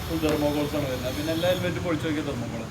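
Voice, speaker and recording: A man's voice: on the high side, fast, background noise. A man, a bike mechanic, walking step by step through fitting a helmet headset outdoors. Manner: methodical, walks through each step. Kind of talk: step-by-step installation guide.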